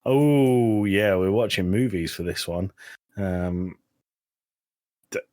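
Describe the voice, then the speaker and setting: Male co-host, lower voice, casual movie chat, irreverent and self-deprecating. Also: takes it slowly, darkish tone. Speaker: podcast co-host.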